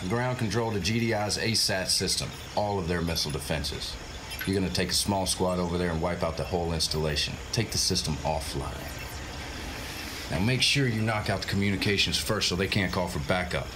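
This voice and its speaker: A man's voice: low-pitched, brisk, lightly acted. An actor playing a cocky, joking male intelligence officer giving a casual, wisecracking mission briefing in a video game. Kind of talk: video game cutscene acting. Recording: game cutscene audio with clear background noise.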